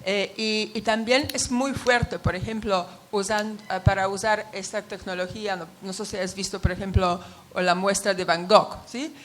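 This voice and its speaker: A woman's voice: mid-range voice, steady tone, normal speed, a little hiss or hum. A woman who is not a native speaker talks in a conference Q&A, often searching for words. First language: not Spanish. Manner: searching for words, many fillers. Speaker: audience member asking a question.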